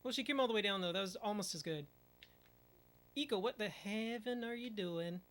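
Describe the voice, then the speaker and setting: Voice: medium pitch, moderate pace, fairly bright tone. A speedrunner giving casual commentary over a run, muttering at the game and using mild minced oaths.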